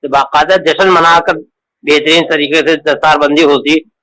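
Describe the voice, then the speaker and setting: A man's voice: fairly high pitch, steady tone, normal speed, pushed and bright, low-quality recording. A man speaking respectfully and deferentially in a recorded phone conversation. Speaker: caller in a recorded call.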